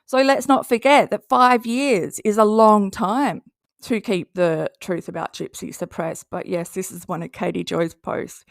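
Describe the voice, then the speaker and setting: A woman's voice: mid-range voice, fairly even intonation, unhurried, creaky, no room sound, studio quality. A woman with an Australian accent giving casual, sarcastic commentary and reading posts aloud in a blunt, mocking way. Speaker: commentary video host.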